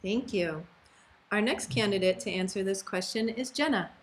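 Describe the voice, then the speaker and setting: A woman's voice: mid-range voice, moderate pace, a little hiss or hum. A woman formally moderating a forum, reading out questions and handing over to speakers. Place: indoor TV studio.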